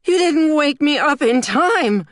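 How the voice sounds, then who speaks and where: A woman voicing a frantic, fussy twelve-year-old girl in an audiobook, shrill, dramatic and panicked. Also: expressive, slow pace, no echo, clean studio sound. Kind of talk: audiobook character voice.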